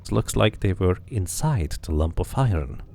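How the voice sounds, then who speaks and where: A man's voice: low voice, animated, normal speed, creaky, a little mellow, a little hiss or hum. A man doing a casual game playthrough, musing to himself and to viewers and reading narration aloud.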